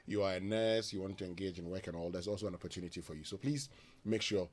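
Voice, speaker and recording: A man hosting a morning radio show, brisk and upbeat as he wraps up the interview. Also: low voice. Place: radio studio.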